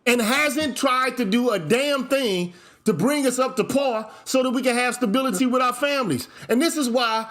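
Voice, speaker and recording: A man's voice: very high-pitched, normal speed, a bit bright. A man hosting a heated panel debate, blunt and profane, hammering his points with numbers. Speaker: show host.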